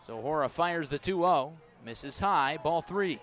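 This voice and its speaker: A man's voice: on the high side, lively intonation, a little slow, a bit bright, a faint crowd. A man doing live radio play-by-play of a baseball game as the announcer. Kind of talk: live radio sports commentary. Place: press box at an outdoor ballpark.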